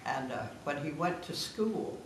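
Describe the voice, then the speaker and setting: An elderly woman in her nineties shares family anecdotes in a lively, wide-ranging voice. The room is very echoey, and she sounds distant from the microphone, with clear noise around her. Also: deep voice, unhurried. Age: 90s. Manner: animated, anecdotal, given to laughing. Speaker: guest of honour sharing family memories. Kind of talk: casual family storytelling. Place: echoing room at a family gathering.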